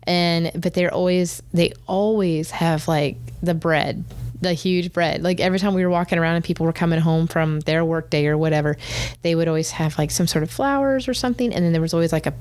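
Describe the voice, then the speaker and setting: A woman with a faintly northern US accent talks in a slightly low register. She is a 911 communications manager and a guest on a casual podcast. She rambles through anecdotes with quick asides and laughs often. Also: a little mellow. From northern United States.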